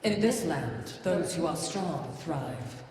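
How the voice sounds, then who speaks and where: A solemn, rousing leader of beast tribes in a fantasy game. He proclaims slowly in a raised pitch, drenched in echo. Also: expressive, background noise. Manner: slow, proclaiming, ominous. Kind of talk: dramatic fantasy game acting. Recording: distant, very echoey, music behind.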